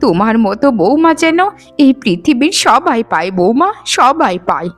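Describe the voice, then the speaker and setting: A woman's voice: fairly high pitch, big pitch swings, moderate pace, faint music behind. A woman voicing a frail, trusting elderly mother-in-law in a melodramatic cartoon, with a plaintive delivery. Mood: weary, tender. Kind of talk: melodramatic cartoon moral-story voice acting.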